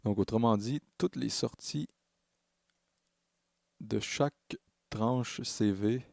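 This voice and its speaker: A man narrating a software tutorial as a music production tutor, explaining in a halting way and correcting himself as he goes. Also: on the low side, vocal fry, dry recording, studio quality. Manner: hesitant, halting phrasing with self-corrections.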